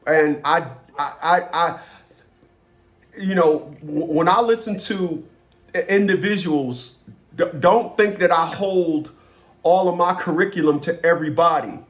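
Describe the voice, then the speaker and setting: A man with a somewhat high voice speaks as a coach in a casual one-on-one consultation. He is instructive and quick to interject, often restarting a phrase several times before landing it. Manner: interjecting, instructive, repeating opening words. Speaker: host, relationship and family-court coach.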